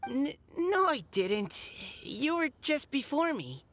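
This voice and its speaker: A woman's voice: high-pitched, sing-song, slow, a little hiss or hum, exaggerated voice. A woman voicing a shy, earnest young boy knight in a video game, hesitant and stammering. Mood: flustered. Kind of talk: video game character acting.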